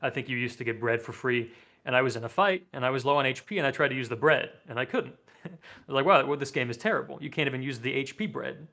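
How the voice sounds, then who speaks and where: A young man whose first language is French, talking as a podcast guest at an easy, conversational pace. He is relaxed and thoughtful, often laughing at his own stories, and comes through a desk mic over a video call. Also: mid-range voice, fast talker. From France. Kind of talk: casual chat. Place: home room, seated at desk. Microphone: desk mic with headset, video call.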